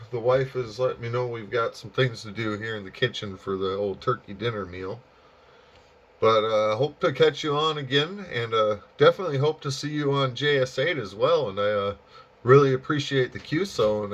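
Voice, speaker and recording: An American man chatting casually and easygoingly over ham radio, rambling along with drawn-out pauses. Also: medium pitch, a bit bright. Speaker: amateur radio operator and channel host. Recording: dull, lo-fi sound.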